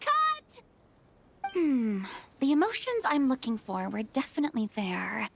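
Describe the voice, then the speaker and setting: High, animated woman film director. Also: measured pace, creaky, noisy background, full character voice. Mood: commanding, encouraging. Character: theatrical, exacting, encouraging young woman film director. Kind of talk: animated video game voice acting. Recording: faint music behind.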